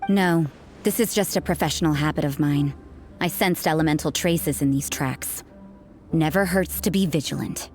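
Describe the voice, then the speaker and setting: A woman's voice: low-pitched, moderate pace, darkish tone, soft background music, lightly acted. A woman playing a cynical, aloof nun in a video game, speaking in a dry, sardonic, teasing manner. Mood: cool, vigilant. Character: cynical, aloof adult nun with a vigilant streak. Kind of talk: video game character dialogue.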